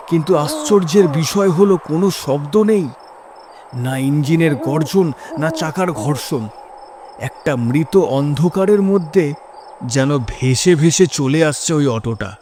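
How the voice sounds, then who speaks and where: Man, horror story narrator, dramatic first person, suspenseful, fairly high voice. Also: a little mellow. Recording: music behind the voice.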